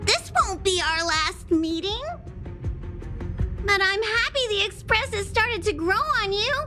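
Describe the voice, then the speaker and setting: A woman's voice: very high-pitched, a little slow. A woman voicing a small, cheerful creature conductor in a video game, bubbly and emphatic. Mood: cheerful reassurance. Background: prominent music.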